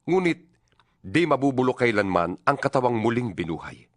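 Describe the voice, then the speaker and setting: A man's voice: mid-range voice, very animated, moderate pace, dry recording, studio quality, natural voice. A man with a Filipino accent playing an apostle exhorting fellow believers in an emphatic, oratorical dramatized Bible reading. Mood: solemn, emphatic. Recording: music bed behind the voice.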